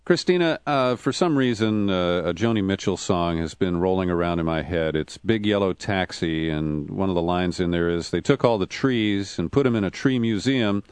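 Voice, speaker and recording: A man's voice: deep voice, lively intonation, normal speed, few pauses, clear, resonant tone, dry recording. A man hosting a radio interview, asking questions with a wry, dry humor.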